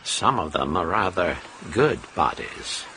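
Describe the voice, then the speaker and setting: A henpecked middle-aged American husband in a radio drama, speaks in an extremely deep, crisp-edged male voice. He is laconic and dutiful, meekly deadpan. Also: measured pace, slight background noise, heavily acted. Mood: mild.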